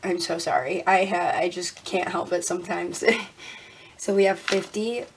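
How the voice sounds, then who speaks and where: A young woman vlogging casually at her desk. She sounds congested and a little raspy, laughs often and counts money out loud. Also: on the low side, steady tone, normal speed, slight background noise, low-quality recording. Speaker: budgeting vlogger. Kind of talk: casual talk while counting cash. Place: indoors at a desk.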